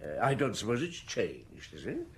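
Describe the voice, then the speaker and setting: An actor playing a reflective, probing chief inspector in an audio drama, speaking in a measured, wry, questioning way. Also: male voice, medium pitch, huge pitch swings, breathy edge, full character voice. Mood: polite, probing. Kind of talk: audio drama acting and narration.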